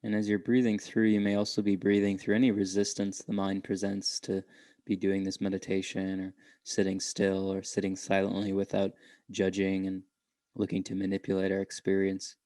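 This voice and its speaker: Very even, low-voiced male meditation guide. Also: dry recording, clean studio sound. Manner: gentle, unhurried, with long pauses between short phrases.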